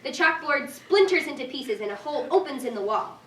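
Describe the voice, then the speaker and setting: A woman's voice: high voice, animated, fairly quick, a bit bright. A woman reading scripted stage directions as narrator at a live staged reading. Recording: distant echoey room, faint noise.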